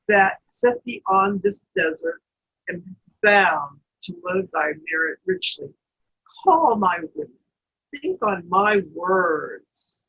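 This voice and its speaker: A woman playing a sly, commanding wicked queen in a Shakespeare read-through, with theatrical, halting delivery over a video call. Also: medium pitch, vocal fry, a bit bright, breathy edge, heavily acted. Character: scheming wicked queen, middle-aged stepmother, commanding and sly. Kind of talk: Shakespeare play read aloud. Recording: muffled video-call audio.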